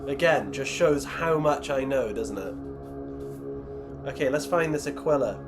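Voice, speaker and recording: A man's voice: medium pitch, expressive, measured pace, over music. A man on a live stream, chatting casually and reading chat aloud, rambling and self-deprecating, while losing his voice. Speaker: streamer building miniatures.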